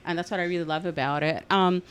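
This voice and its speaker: A woman's voice: on the low side, fast, clear, resonant tone. A woman in her fifties who grew up in Greece, a comedian guest telling candid stories in a casual studio interview. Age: 50s. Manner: candid, animated storyteller. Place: podcast studio.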